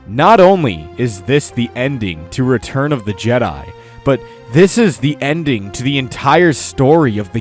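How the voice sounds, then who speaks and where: A man hosting a scripted countdown video, commenting in a mock-earnest way with exaggerated praise. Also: mid-range voice. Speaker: video host. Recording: music under the voice. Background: music.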